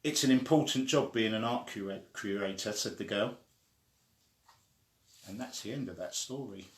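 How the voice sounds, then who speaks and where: A man reading a children's story aloud in an expressive way, acting out the quoted lines. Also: lowish voice, normal speed. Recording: echoey room.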